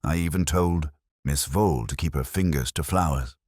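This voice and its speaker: A dutiful, slightly aggrieved church verger from an audio whodunit, voiced by a male reader. His talk is plain and a little grumbling, low in pitch and recorded very dry. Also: moderate pace, darkish tone, breathy edge, dry recording.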